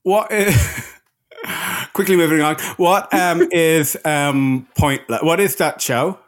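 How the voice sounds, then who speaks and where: An Irish man co-hosting a comedy podcast from Los Angeles, throwing in quick witty remarks and laughing often. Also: fairly high pitch. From Ireland. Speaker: podcast co-host. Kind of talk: casual comedy podcast chat.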